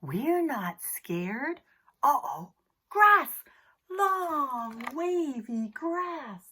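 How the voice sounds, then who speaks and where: A woman telling a children's picture-book story in an expressive, theatrical way, drawing out words for young listeners. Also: sits high, drawn-out words. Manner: addresses young listeners directly. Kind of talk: animated children's story time, chanted picture-book reading.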